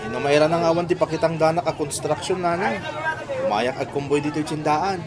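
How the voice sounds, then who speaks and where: A man's voice: fairly high pitch, fairly quick, crowd noise, close to an everyday voice. A man acting as a poor, polite laborer in an Ilocano radio drama, with a Filipino accent and a deferential, apologetic manner. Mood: respectful, eager. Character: poor, polite, humble married male laborer.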